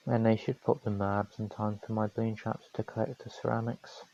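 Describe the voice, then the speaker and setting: Hoarse low-voiced male game streamer. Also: fairly quick, dull audio. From New Zealand. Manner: calm, matter-of-fact strategy talk. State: voice going hoarse. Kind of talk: live gameplay commentary.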